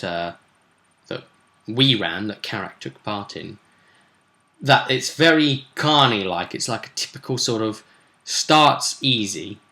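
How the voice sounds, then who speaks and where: A man's voice: lowish voice, pushed and bright. A man with a British accent giving a casual recap as a game master, explaining in a rambling, colloquial, stop-start way. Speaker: game master recounting sessions. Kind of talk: casual session recap vlog.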